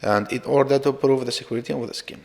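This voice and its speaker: A man giving an academic slide presentation as a researcher, with halting, hesitant delivery and frequent repeats. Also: medium pitch, steady tone, vocal fry. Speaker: researcher presenting own work. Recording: reverberant, hall-like echo.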